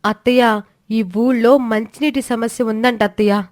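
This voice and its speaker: Woman playing an earnest, high-voiced young daughter-in-law. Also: fairly even intonation, normal speed, a little mellow, no room sound, studio quality, natural voice. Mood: earnest concern. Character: clever, concerned young daughter-in-law, respectful and resolute.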